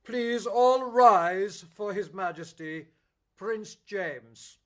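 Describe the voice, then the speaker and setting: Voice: mid-range voice, very slow. An actor playing a stately lord chamberlain, proclaiming formally in a radio play.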